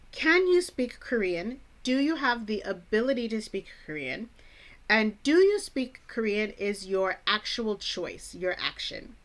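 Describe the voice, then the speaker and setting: An American woman from the Chicago suburbs who hosts an English-teaching vlog, speaking in a clear, deliberate teacher's manner with playful asides. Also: on the high side. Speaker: English teacher, channel host. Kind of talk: scripted educational vlog.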